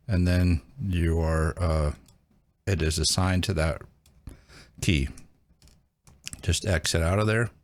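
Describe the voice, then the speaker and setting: A man's voice: low-pitched, no echo. A man hosting a casual hands-on how-to, talking informally and thinking aloud as he demonstrates each step. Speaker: host of a radio how-to channel.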